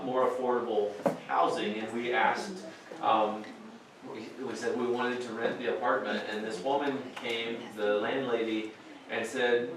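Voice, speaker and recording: A man giving a translated voice-over, speaking plainly and measuredly with some fillers. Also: fairly low pitch. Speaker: voice-over interpreter. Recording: very echoey, distant, faint noise.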